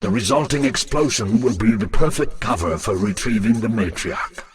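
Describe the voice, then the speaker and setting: A man playing a dutiful lieutenant to an alien queen in a video game, formal, measured and deferential. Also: fairly low pitch, lively intonation, noisy background, close to an everyday voice. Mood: calculating.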